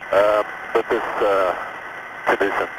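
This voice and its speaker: A man's voice: lowish voice, fairly even intonation, slow. A man, a helicopter pilot, making radio calls to air traffic control. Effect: aviation radio. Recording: noisy cockpit radio transmission.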